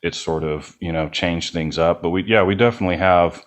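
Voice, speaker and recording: A man's voice: deep voice, a little fast. A man chatting casually as an interview guest, rambling and hedging as he talks. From United States. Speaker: band member, podcast guest.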